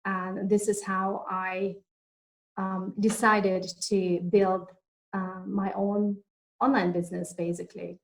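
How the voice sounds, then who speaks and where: A woman's voice: mid-range voice, level tone, poor audio. Woman from kazakhstan, mom, casual interview talk, lots of uh and um. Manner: halting, with many fillers and restarts. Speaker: interviewee, mother and online entrepreneur. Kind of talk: casual video chat interview.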